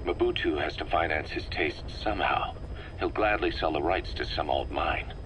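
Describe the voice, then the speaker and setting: An actor playing a serious, worldly military intelligence officer, giving a measured, grave briefing in a video game. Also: male voice, rumbling low, noisy background. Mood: grave, analytical.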